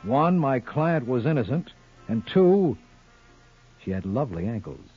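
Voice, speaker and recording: An actor playing a wisecracking, flirtatious lawyer with glib, wry banter in a 1950s radio detective drama. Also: male voice, medium pitch, big pitch swings, unhurried, husky, faint music behind. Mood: wry, confiding. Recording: dull old-time radio recording.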